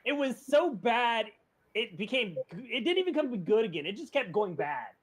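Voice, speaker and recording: High-voiced, animated male podcaster. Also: moderate pace, a crowd in the background. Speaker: podcast co-host. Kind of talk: casual chat, opinionated movie talk.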